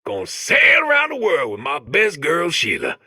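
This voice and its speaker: A man's voice: fairly high pitch, huge pitch swings, pushed and bright, slightly rough, no room sound, studio quality, full character voice. A man playing a giddy, sentimental older robot worker counting down happily, folksy and gleeful, in a comedic video game. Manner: folksy, gleeful, drawn-out exclamations.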